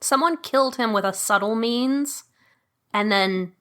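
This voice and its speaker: Bright, eager woman player. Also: on the high side. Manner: eager, quick to laugh, reasons aloud excitedly. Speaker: guest player. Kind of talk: casual chat playing a solo tabletop game.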